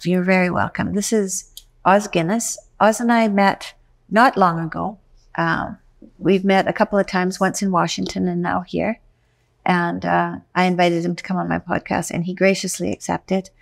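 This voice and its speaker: A middle-aged woman hosting a podcast interview, speaking casually with many fillers and quick affirmations. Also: on the low side, flat delivery, gravelly. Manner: warm listener with frequent affirmations, hesitant fillers. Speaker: podcast host. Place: bright room indoors.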